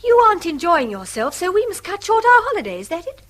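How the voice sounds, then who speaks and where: An actress playing a weary, wistful English wife with a defiant streak, in an emphatic British radio drama style. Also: female voice, very high voice, big pitch swings, fast talker, a bit bright, exaggerated voice. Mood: indignant, defiant. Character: weary wife Mary, wistful, quick to defy her husband.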